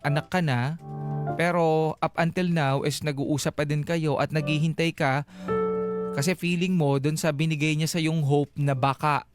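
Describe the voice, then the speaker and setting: A man's voice: fairly high pitch, fairly even intonation, normal speed. Filipino guy radio host giving straight-up advice, taglish, music playing under him. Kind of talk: conversational on-air advice, mixing Tagalog and English. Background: background music.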